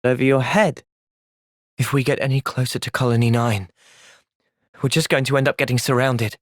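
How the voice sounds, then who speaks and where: An actor playing an earnest, thoughtful young soldier in a video game, soft-spoken and sincere but resolute. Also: male voice, medium pitch, steady tone, normal speed, dry recording, studio quality, lightly acted. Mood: calm, thoughtful. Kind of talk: video game cutscene acting.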